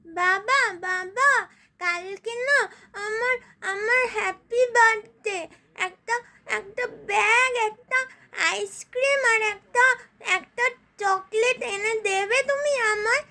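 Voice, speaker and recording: A woman voicing a babyish little girl who begs her father, halting and repeating her words in a comic skit. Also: extremely high pitch, pushed and bright, full character voice. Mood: coaxing, whiny. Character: pleading little girl begging father for birthday gifts.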